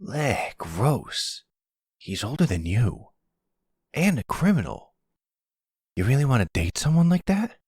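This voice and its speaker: Casting: male actor as panicky, hot-headed young guy, lively pitched-up voice, stammering and defensive, dry ASMR drama sound. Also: slow, long pauses, husky, no room sound, exaggerated voice. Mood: disgusted, pleading. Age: young adult. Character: mob boss's hot-headed, panicky young adult son. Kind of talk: ASMR audio roleplay acting.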